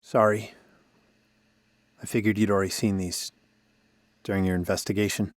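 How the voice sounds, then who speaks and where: An actor playing a broken-down radio DJ, weary, sardonic and self-deprecating, in conversational audio drama dialogue. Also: male voice, lowish voice, flat delivery, moderate pace, no room sound, clean studio sound, close to an everyday voice. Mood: rueful, bitter.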